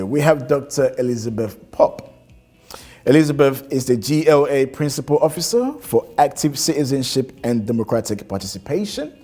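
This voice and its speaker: A man hosting a TV interview show, reading measured, scripted introductions. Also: medium pitch, darkish tone, breathy edge. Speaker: TV show host. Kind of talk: presenting and interviewing.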